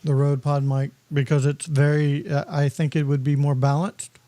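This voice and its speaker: A man's voice: fairly high pitch, little pitch movement, moderate pace, mellow, no room sound. A man co-hosting a casual podcast chat, giving laid-back advice on budget microphones. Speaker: podcast co-host.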